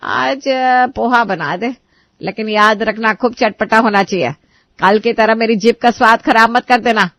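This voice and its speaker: A woman's voice: on the high side, measured pace, fairly bright tone, dry recording. A woman voicing a demanding, greedy middle-aged mother-in-law who nags and taunts her daughter-in-law. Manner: nagging, sarcastic, bossy.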